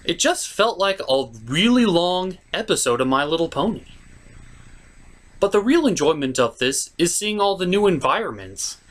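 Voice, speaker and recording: Theatrical man with lively, swooping delivery. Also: high-pitched, normal speed. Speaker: host of a review show. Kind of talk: scripted review commentary with puppet-show skits.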